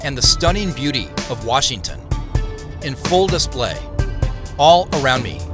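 A man narrating a scripted audio drama in the first person as a reflective investigator, with measured, descriptive storytelling. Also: medium pitch, animated, over music, close to an everyday voice. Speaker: narrator and lead character.

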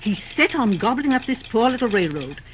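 A woman's voice: fairly high pitch, big pitch swings, a little fast, slight background noise. A woman playing a genteel, eccentric middle-aged mother in an old-time radio drama, airy and self-assured, with an affectionate, patronizing manner. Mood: airy, wry. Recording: dull, band-limited old radio recording.

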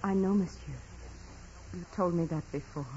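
An actress playing a devout, gentle peasant girl, polite and steadfast, with a soft husky voice in a 1940s radio drama. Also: lowish voice, normal speed, slight background noise, close to an everyday voice. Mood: quietly steadfast. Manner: polite, earnest, simple. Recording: dull vintage radio broadcast.

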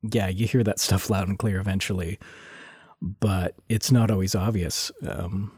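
A man with a low, mellow voice chats easily as a sound designer guest. He talks in a relaxed way, laughs now and then and restarts his sentences often. The recording is close and dry. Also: a little slow, breathy edge, dry recording. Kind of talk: casual interview chat. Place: lives in Toronto.

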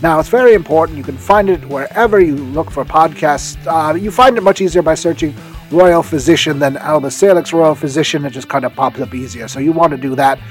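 A man with a fairly high voice speaks as a podcast host over soft music. He rambles whimsically and enthusiastically in a casual, comic promotional monologue. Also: a little fast. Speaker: podcast host recommending audio dramas.

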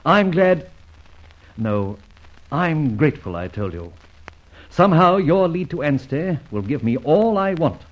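Husky, dramatic gentleman adventurer. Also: fairly high pitch, big pitch swings, measured pace, long pauses. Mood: amused, suave. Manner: theatrical, clipped old-fashioned gentlemanly phrasing. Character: disgraced former army officer turned gentleman adventurer, dramatic, wry. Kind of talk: old radio drama acting. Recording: dull, lo-fi old radio recording.

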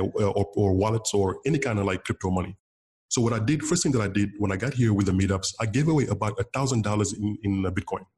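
A man's voice: lowish voice, darkish tone. A man from Senegal whose first language is Wolof, a guest on an interview, talking casually with many fillers and restarts. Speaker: interview guest, bitcoin developer.

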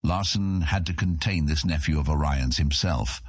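A man's voice: a bass voice, moderate pace, dry recording. A man narrating a scripted fantasy audiobook, recorded close to the microphone.

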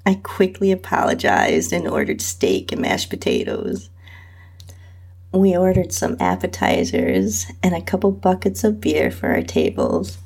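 A woman's voice: fairly low pitch, huge pitch swings, measured pace, creaky, darkish tone, slightly rough. A woman reading a scripted first-person story aloud as its narrator and host.

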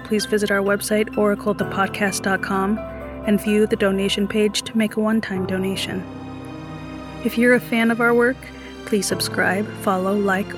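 A woman reading scripted podcast credits as an announcer. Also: mid-range voice. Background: new-age music.

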